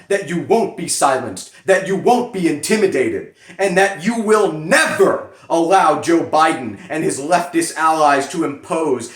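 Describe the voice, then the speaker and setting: A man giving a solemn, theatrical dramatic reading with emphatic pauses. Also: fairly high pitch. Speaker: reader performing a letter. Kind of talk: dramatic reading of a political fundraising letter. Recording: echoey room.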